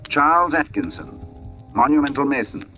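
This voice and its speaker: An actor playing a brooding artist who narrates his own uncanny tale in a deliberate, literary, suspenseful old-time radio style. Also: medium pitch, lively intonation, sharp tone, dull audio, soft background music. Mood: brooding, uneasy. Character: brooding male artist recounting an uncanny, sweltering day. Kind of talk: old-time radio drama, first-person dramatic narration.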